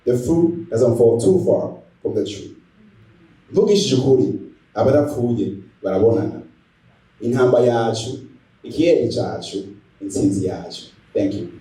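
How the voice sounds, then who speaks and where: Young guy, doing slam poetry, super mellow dark voice, roomy far-off mic. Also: medium pitch, expressive, measured pace, pauses often. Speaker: spoken word poet. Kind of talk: spoken word poetry performance. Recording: echoey room, distant microphone.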